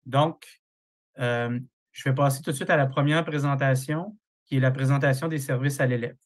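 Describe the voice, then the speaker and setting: A man runs a formal committee meeting over a video call. His voice stays flat and level, and he works through procedural points with frequent hesitations and fillers. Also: mid-range voice. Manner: procedural, halting with many fillers. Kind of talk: chairing a formal online meeting.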